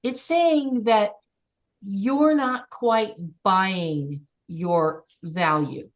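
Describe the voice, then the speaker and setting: A woman's voice: medium pitch, very slow. A woman coach leading a group call, speaking in an encouraging, emphatic way.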